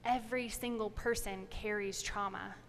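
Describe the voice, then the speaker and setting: A woman preacher delivering a measured, prepared sermon in church. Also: mid-range voice, steady tone. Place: large church indoors. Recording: reverberant church, audible noise.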